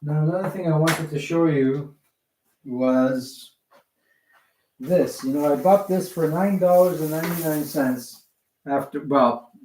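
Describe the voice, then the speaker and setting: A man's voice: on the high side, darkish tone, poor audio. Rambling middle-aged man over faint noise. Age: 50s. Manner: rambling, halting, digressive. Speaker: hobbyist video host. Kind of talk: casual unscripted product demonstration. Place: indoors at home. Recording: home recording with faint background noise.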